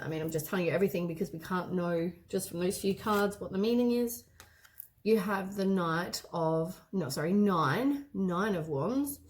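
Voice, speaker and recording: A hoarse woman with a head cold gives a casual tarot reading, meandering and often correcting herself mid-sentence. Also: mid-range voice, a little mellow. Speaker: tarot card reader.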